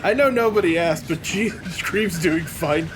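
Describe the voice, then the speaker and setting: A man's voice: high-pitched, unhurried, background noise. A man giving casual, jokey commentary as a let's play co-host. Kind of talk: casual joking game commentary. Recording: faint game music behind.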